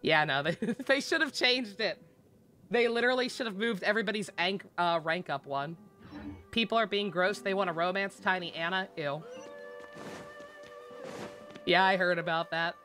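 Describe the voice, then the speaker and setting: A woman's voice: lowish voice, normal speed, long pauses, fairly bright tone. A woman game streamer giving casual, sweary live commentary in a sarcastic, ranting manner. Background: game music.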